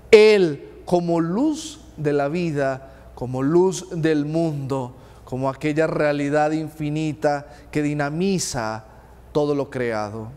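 A man in his thirties, a friar, preaching a homily in a small chapel, earnest, addressing listeners with direct questions and dropping low on key phrases. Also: a little mellow. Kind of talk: sermon. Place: small chapel with stained glass. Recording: long chapel reverb.

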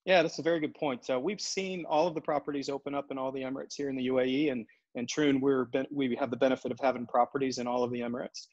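A man in his forties, a golf operations executive, answering interview questions over a video call in a steady, businesslike way with frequent fillers and restarts. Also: mid-range voice, fast talker, dry recording. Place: home office in front of a brick wall. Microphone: earbuds on a video call. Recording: dull, dry video call audio.

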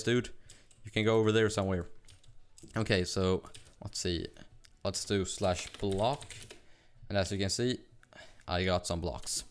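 A young man from Sweden with a Swedish accent, chatting fast and rambling with laughs while narrating his gameplay. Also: on the low side, smooth. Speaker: gaming YouTuber. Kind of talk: casual gameplay commentary.

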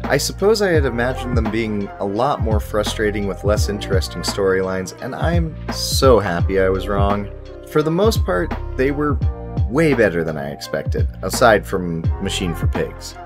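A man's voice: fairly low pitch, big pitch swings, normal speed. A young man presenting a scripted video game review in an articulate, presentational way. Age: young adult. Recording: music behind the voice. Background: background music.

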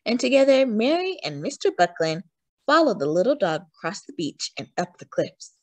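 Animated woman reading a children's storybook. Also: medium pitch, no room sound. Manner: animated storytelling with drawn-out emphasis. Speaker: storytime reader. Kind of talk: expressive children's read-aloud narration.

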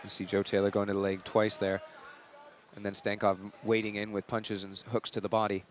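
A British man co-commentating an MMA bout, measured and analytical in his play-by-play. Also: on the low side, monotone, a little hiss or hum. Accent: British English. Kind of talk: live fight commentary.

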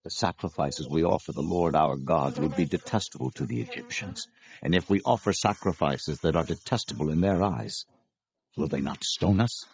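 An actor playing an earnest, firm Hebrew prophet with a measured, solemn manner in a scripture audio drama. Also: male voice, extremely low pitch, poor audio. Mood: firm objection.

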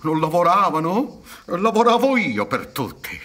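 A middle-aged man acting in a radio drama as an aggrieved, proud peasant son, deferential yet impassioned, with a husky voice. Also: sits high, sing-song, measured pace, fairly bright tone, heavily acted. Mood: bitter, indignant. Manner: deferential, then impassioned and indignant. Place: theatre stage set up for radio recording. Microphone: stand microphone with headphones.

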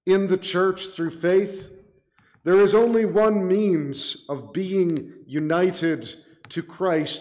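A middle-aged man preaching as a pastor in an earnest, deliberate and emphatic way, in sermon and prayer. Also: on the high side, darkish tone. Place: small church room, indoors.

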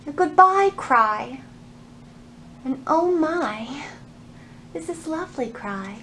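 A woman's voice: high voice, slow, noisy background. A woman in her thirties leading a children's storytime, speaking expressively and straight to young listeners. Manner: expressive, animated, addressing children directly. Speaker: children's librarian hosting storytime. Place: indoors in a library.

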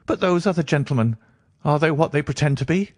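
A man's voice: fairly high pitch, animated, brisk, no room sound, studio quality, pitched above the performer's own voice, natural voice. A man voicing a jittery, self-important fat man in a reedy, quavering, fussy voice for an audiobook. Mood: relieved, wary. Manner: nervous, fussy. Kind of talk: audiobook character acting.

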